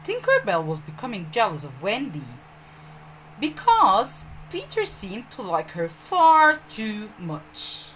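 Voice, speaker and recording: A woman telling a children's story as host, expressive and speaking directly to young listeners. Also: medium pitch, slow, slight background noise, rough recording.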